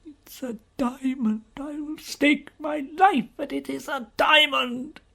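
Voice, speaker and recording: A man's voice: very high-pitched, steady tone, slow, vocal fry, sharp tone, pitched above the performer's own voice, heavily acted. A man voicing an irritable, easily outraged man in an audiobook story, with emphatic, spluttering speech that repeats itself. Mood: astonished. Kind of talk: dramatised audiobook character reading.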